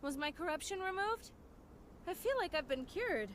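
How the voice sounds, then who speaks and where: Anime game fighter, female: teasing, wry, battle-worn, high-pitched, slight noise behind. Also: animated, normal speed. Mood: groggy, questioning. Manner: flippant, wry. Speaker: video game voice actor. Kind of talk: anime-style game dub acting.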